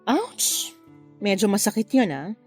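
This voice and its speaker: A woman playing a flirtatious, unapologetic married mother in a Tagalog radio love drama, with a playful, expressive delivery. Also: mid-range voice, takes it slowly, soft background music. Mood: candid, defensive. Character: flirtatious married mother having a secret affair, breezily unapologetic.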